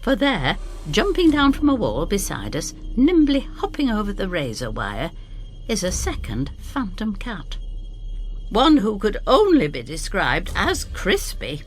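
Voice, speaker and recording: A woman reading a dramatic first-person story in an expressive, theatrical way. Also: mid-range voice, measured pace. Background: music.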